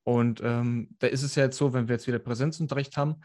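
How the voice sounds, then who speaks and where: A man's voice: medium pitch, steady tone, fast talker, darkish tone. Young man asking questions with many fillers. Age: 20s. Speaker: podcast co-host and interviewer. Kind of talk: interview.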